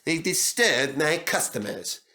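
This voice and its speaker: Storybook barber, male performer: cross, fussy, emphatic, sharp-toned. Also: on the high side, sing-song, measured pace, breathy edge, heavily acted. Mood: indignant. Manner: crotchety, emphatic. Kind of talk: storybook fandub character voice.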